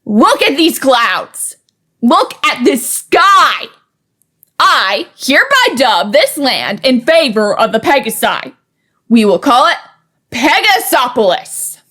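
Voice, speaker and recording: A woman's voice: sits high, big pitch swings, takes it slowly, pushed and bright, heavily acted. A woman playing a brash, domineering pegasus military commander in a cartoon audio drama, boastful and scornful. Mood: triumphant.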